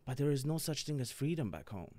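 A young Italian-born man tells stories on a podcast with lots of animation. He laughs easily and slips into voices of the people in his anecdotes. His voice sits at a middling pitch. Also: fast talker, dry recording. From Sicily, Italy. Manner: animated storyteller, laughs often, acts out voices. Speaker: comedian guest. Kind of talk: casual podcast chat.

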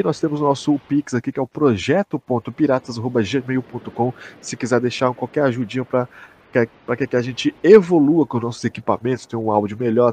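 Male podcast host, Brazilian accent, slightly rough husky tone, excited and sweary casual chat. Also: mid-range voice. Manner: slangy, swearing, emphatic. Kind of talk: casual chat, enthusiastic review.

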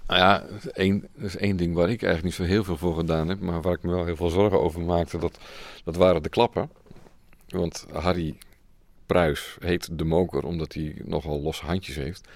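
Male interviewee, informal and hesitant, very low register, crackly fry, close dry studio sound. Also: fairly even intonation, normal speed, no room sound. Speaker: radio drama sound designer. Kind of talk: casual interview answers.

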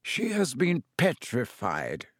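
Male reader doing a gentle but firm old headmaster for a storybook, quiet and unhurried. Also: mid-range voice, no room sound, studio quality, full character voice. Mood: calm. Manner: soft, slow and calm.

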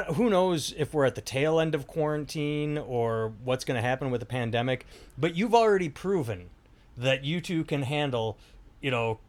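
A middle-aged man hosting a podcast, rambling casually, swearing freely and restarting his sentences. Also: a little high. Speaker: podcast host. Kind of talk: casual chat.

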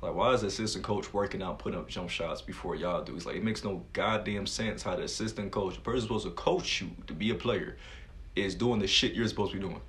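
A man's voice: low voice, quick pace, a bit bright. A man chatting casually as an interviewer and fellow coach, informal and blunt, with some offhand swearing. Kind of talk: casual chat.

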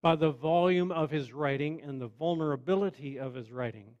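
A man preaching a sermon in a measured, conversational way with wry asides. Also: a little high, darkish tone, muffled recording. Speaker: pastor.

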